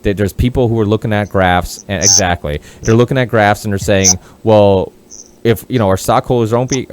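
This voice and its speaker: A man's voice: fairly low pitch, darkish tone. A Portugal-born man hosting a casual podcast, talking in a rambling, profane way with many restarts. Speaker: podcast host.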